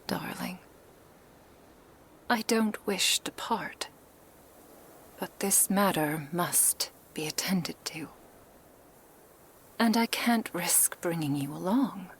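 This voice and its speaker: A woman's voice: on the low side, a little hiss or hum. A woman playing a doting, possessive kitsune witch in a whispered ASMR roleplay, speaking tenderly in formal, archaic phrases. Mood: pained, tender.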